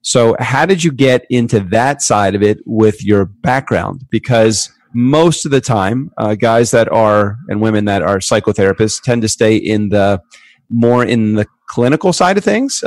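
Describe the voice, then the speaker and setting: Um homem apresentando um podcast, falando de forma descontraída e animada, com repetições e recomeços. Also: male voice, mid-range voice, lively intonation, moderate pace, dry recording. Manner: upbeat, chatty, with restarts. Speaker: podcast host. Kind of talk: casual podcast interviewing.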